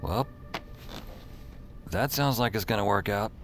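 A man's voice: fairly low pitch, measured pace, faint music behind, natural voice. An actor playing a flippant, wry young man with magic powers in an audio drama, speaking colloquially. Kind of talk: audio drama acting and first-person narration.

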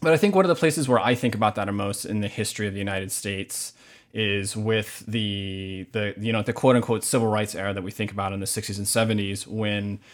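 Guy with a lowish voice, novelist on a podcast, measured and thoughtful. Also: fairly even intonation. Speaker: novelist guest. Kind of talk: literary reading and thoughtful interview answers.

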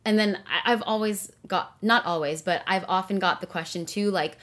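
Young woman, mid pitch, chatty and earnest, filler-heavy guest interview delivery. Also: normal speed, a bit bright. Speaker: podcast guest. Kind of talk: casual interview conversation.

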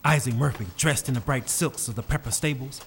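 A man's voice: medium pitch, brisk, slight background noise. A man narrating a scripted story in a dramatic style. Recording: reverberant, faint music behind.

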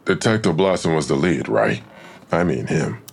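Police detective, very deep pitch, gravelly fry, suspicious, dry, naturalistic drama. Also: male voice, expressive, moderate pace, full character voice. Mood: probing. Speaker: actor in a fiction podcast. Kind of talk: naturalistic audio drama acting.

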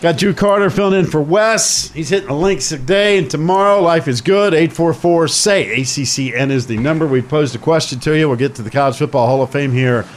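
A man's voice: fairly high pitch, fairly quick, hardly any pauses. A man hosting a sports talk radio show, chatting with a co-host and taking phone calls in an emphatic, repetitive way. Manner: chatty, emphatic, repeats himself.

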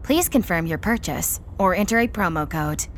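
A woman's voice: fairly low pitch, expressive, normal speed, a little hiss or hum. A woman voicing a courteous automated car entertainment system in an audio drama, making polite, formulaic announcements. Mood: courteous, promotional. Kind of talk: scripted announcement. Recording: music playing underneath.

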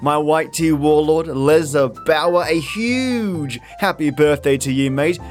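Chipper Australian podcast host, guitar music behind. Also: male voice, a little high, takes it slowly. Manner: chipper, effusive. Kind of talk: chatty scripted podcast outro.

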